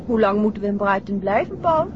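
An actress playing a practical, agreeable detective's wife in a 1960s radio drama, with a Dutch accent. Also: female voice, mid-range voice, lively intonation, a little fast, noisy background, lightly acted. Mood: questioning. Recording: muffled 1960s radio recording.

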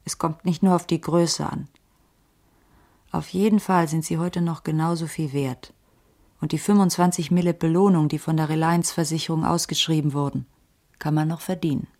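Low, gravelly, world-weary streetwise woman. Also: fairly even intonation, moderate pace, a little mellow. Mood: knowing, matter-of-fact. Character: careworn, streetwise female ex-cop with a tip. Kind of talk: radio drama dialogue, hard-boiled crime.

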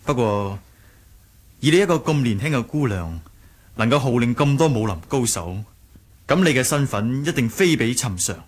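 An actor playing a polite, guarded young martial-arts hero, speaking in a measured way in an audio drama. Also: male voice, medium pitch, expressive, close to an everyday voice. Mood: guarded, courteous. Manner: courteous, measured. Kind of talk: Cantonese audio drama acting.

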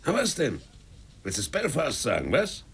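An actor playing a spiteful, goading sailor in a radio drama, with a hoarse, gravelly voice and a taunting way of talking. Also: male voice, mid-range voice, wildly swooping pitch, full character voice. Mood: goading, hateful. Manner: jeering, taunting, drawn-out mock sweetness. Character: spiteful, goading male sailor, sneering bully.

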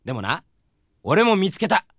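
A dry, close recording of an excitable young man, the earnest rival in a comedy audio drama. His bright, forceful voice swings widely and blusters with manly bravado. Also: high-pitched, moderate pace, no echo, full character voice. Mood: earnest, reflective. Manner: animated, blustering masculine speech. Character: earnest, excitable young male rival fan, absent-minded.

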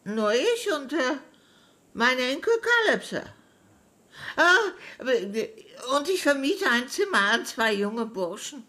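Radio play: a God-fearing old grandmother, slow and high, deferential to authority, plaintive. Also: sing-song, bright, forceful tone, heavily acted. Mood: meek, uneasy. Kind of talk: radio crime drama acting.

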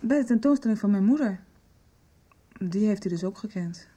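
A woman's voice: mid-range voice, lively intonation, moderate pace, mellow, breathy edge. An actress playing an earnest young woman searching for the truth about her father in a radio drama.

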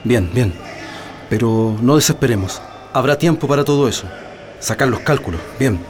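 An actor playing a calm, methodical leader of political prisoners in a radio drama, with a Chilean accent and a measured, reassuring delivery. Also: male voice, mid-range voice, natural voice. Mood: methodical, firm. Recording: music behind the voice.

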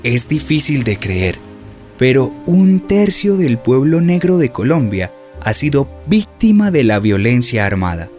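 A man's voice: mid-range voice, very animated, measured pace, a little mellow. A man delivering formal, emphatic scripted narration as the documentary narrator of a radio drama. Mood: grave, expository. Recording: music behind the voice.

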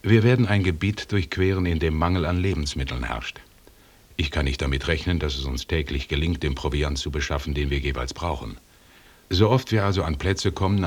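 An actor playing an authoritative expedition leader in a German radio drama, speaking in a formal, precise, lecturing manner with a husky voice. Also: male voice, rumbling low. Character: authoritative British expedition leader, pedantic and commanding.

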